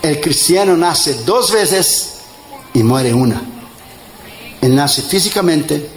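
A man in his fifties, an evangelist preaching a sermon, tired, emphatic, mixing jokes with loud rising exhortation. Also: on the high side, animated, slow pace, faint music behind. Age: 50s. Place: church in front of a congregation. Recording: echoey church with crowd and noise.

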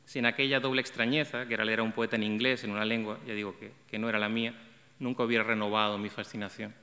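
A middle-aged man reading a prepared essay aloud at an arts center event, measured and reflective, with small restarts. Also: fairly low pitch, level tone, bright, forceful tone. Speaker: event coordinator presenting a talk on translation. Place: arts center stage, seated at a table. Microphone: table microphone. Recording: long hall reverb.

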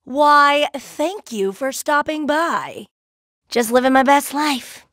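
A woman's voice: high voice, takes it slowly, fairly bright tone, dry recording, studio quality. A woman voicing a brash, playful, laid-back young female gem warrior in a cartoon video game, with a cheeky, slangy, exclamatory delivery. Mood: playful, casual. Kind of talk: cartoon video game voice acting, short barks.

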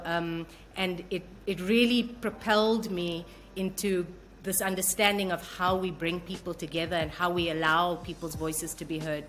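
A woman's voice: fairly low pitch, fairly bright tone. A South African woman in her forties, telling her story earnestly and reflectively as a guest on a video call interview. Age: 40s. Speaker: guest, television producer. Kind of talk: casual interview. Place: home room with bookshelves.

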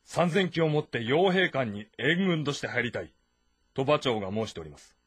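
Husky young officer, dry sound. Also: male voice, mid-range voice, big pitch swings, moderate pace, a bit bright, dry recording. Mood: earnest. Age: young adult. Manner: formal, direct. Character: respectful, frank young male officer of ancient China. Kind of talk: dramatic game voice acting.

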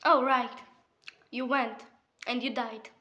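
A woman's voice: a little high, fairly even intonation, takes it slowly, pushed and bright, muffled recording. A young woman giving casual, emotional reaction commentary in a hesitant, tender way. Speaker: reaction video maker.

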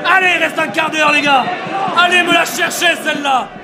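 A man giving excited live football commentary from a stadium booth into a headset, shouting and building suspense. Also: extremely high pitch, a little fast. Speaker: match commentator. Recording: loud crowd and music behind.

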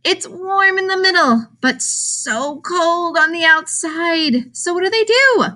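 A woman reading a children's picture book aloud like a teacher, putting expressive emphasis on questions and answers. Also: very high-pitched, takes it slowly.

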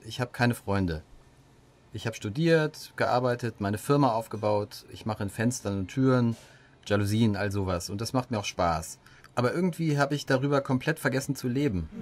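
A man's voice: medium pitch, lively intonation, normal speed, lightly acted. A man acting an earnest, lonely self-made businessman in an audio drama, sincere and a little hesitant. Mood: sincere, confessional. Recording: faint noise and room ambience.

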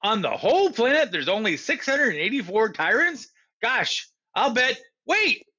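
A man voicing an eager, excited boy who exclaims his ideas in a storybook reading. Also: fairly high pitch, takes it slowly, muffled recording, exaggerated voice. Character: boy, excited and quick-minded.

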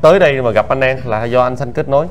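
A man's voice: mid-range voice, quick pace, soft background music. A man with a Southern Vietnamese accent chatting casually as an interviewer, coaxing and familiar, repeating his questions. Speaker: interviewer, host of charity visit videos. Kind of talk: casual, probing street interview chat. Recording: noisy outdoor recording with traffic.

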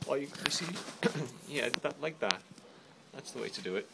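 A man's voice: mid-range voice, animated, normal speed, gravelly. A middle-aged man chatting casually in short, encouraging phrases to a small child, as his father. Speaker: father filming his young son. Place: outdoors on a patio. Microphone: camera held in hand. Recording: noisy handheld home video.

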